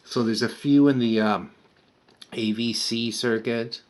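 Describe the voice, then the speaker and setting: A man's voice: medium pitch, steady tone, unhurried. An older man casually walking through a repair in a plain-spoken, explanatory way as a hobbyist restorer. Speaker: vintage radio restorer and presenter. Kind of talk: casual hands-on repair walkthrough.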